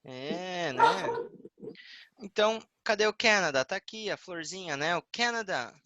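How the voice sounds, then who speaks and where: A male English teacher with a Brazilian Portuguese accent, explaining a lesson patiently and repeating words slowly. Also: high-pitched, expressive, pushed and bright, no room sound, highs cut off.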